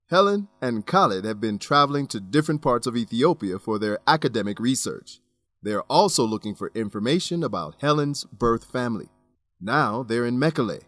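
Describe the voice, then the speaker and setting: A man hosting and narrating a scripted radio drama for English learners, with welcoming, deliberate enunciation. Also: medium pitch, a bit bright, dry recording. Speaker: narrator and host.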